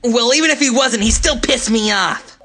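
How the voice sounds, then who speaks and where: A man's voice: very high voice, moderate pace, background noise. A man playing a hot-headed, cocky teenage boy in an anime fan dub, brash and irritable. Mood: angry.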